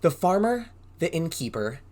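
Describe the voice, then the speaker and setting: A man hosts an interactive storytime for small children in a very animated voice. He is expressive, swinging his pitch widely, and keeps prompting his listeners to join in. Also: moderate pace. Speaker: preschool acting teacher, storytime host.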